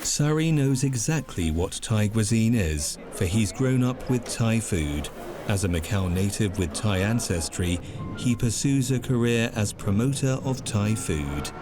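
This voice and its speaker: A man narrating a documentary in a calm, measured, scripted way. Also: fairly low pitch. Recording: music underneath.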